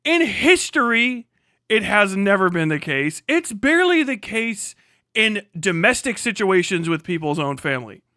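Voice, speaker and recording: A man with a high, clear voice delivers loose livestream commentary. He talks like a showman one moment and rambles irreverently with plenty of swearing the next. The sound is dry and close, with a crisp, forceful edge. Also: sing-song, moderate pace, no room sound. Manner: profane, slangy, irreverent. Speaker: live stream host.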